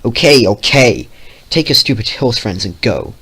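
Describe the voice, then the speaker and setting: A man voicing a cold young man who speaks in a flat, dismissive way. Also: medium pitch, moderate pace, gravelly, husky, full character voice.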